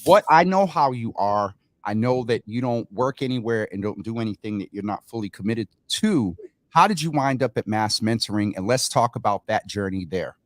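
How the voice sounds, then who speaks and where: A man's voice: lowish voice, expressive, moderate pace, no room sound. Man hosting, dry close sound. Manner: friendly, affirming interjections. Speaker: host. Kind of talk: casual interview talk.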